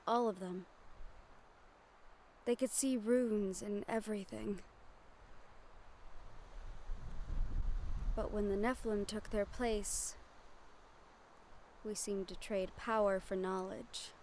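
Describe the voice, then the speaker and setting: Woman acting a soft-spoken, earnest young mage. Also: medium pitch, steady tone, a little hiss or hum, close to an everyday voice. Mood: wistful, explaining. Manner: soft-spoken, measured, with thoughtful pauses. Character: gentle, earnest magic-wielding young woman, ward of a general. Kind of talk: audio roleplay acting, addressed to the listener. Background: ambient sound effects.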